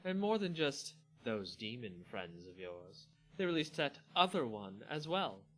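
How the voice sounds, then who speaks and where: A man's voice: mid-range voice, very animated, measured pace, a bit bright, soft background music, rough recording. A man voicing a gleeful, imperious female vampire hostess with a theatrical, gushing and conspiratorial manner in a dramatic story reading.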